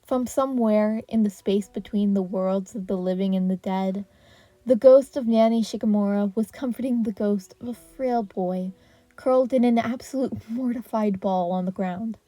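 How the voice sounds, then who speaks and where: A woman reading fan fiction aloud as an expressive podfic narrator. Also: mid-range voice, normal speed, no room sound.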